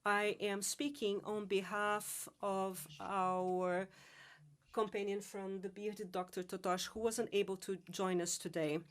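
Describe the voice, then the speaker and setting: A woman giving a live interpretation of a conference presentation, measured and halting. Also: mid-range voice, flat delivery. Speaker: simultaneous interpreter.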